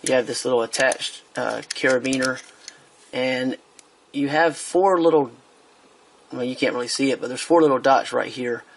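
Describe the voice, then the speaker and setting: A man's voice: medium pitch, moderate pace, a little hiss or hum. A man giving a casual product review while he handles a speaker on a tabletop, hesitant, with many fillers and restarts.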